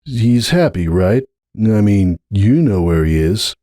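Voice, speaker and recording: Guy doing a young pony dude, cocky but awkward, asks stuff casually, bouncy pitch, soft edges. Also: lively intonation, slow pace, no room sound, studio quality. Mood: insistent, uneasy. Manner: casual, questioning, slightly awkward. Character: brash, uneasy young male pony, an athletic nephew. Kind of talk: dramatic audiobook character reading.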